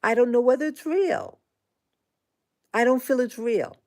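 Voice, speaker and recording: A woman in her sixties talking into her own vlog, halting and indignant, with frequent restarts and fillers. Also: a little high, a little mellow. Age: 60s. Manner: aggrieved, indignant. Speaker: vlogger. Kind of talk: personal monologue vlog.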